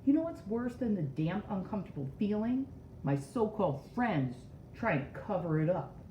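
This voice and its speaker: A woman's voice: mid-range voice, sing-song, normal speed, dark tone, close to an everyday voice. A woman voicing an indignant, self-important male storybook character who accuses his friends with sarcastic melodrama. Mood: indignant, aggrieved. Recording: roomy echo, dull sound, faint noise.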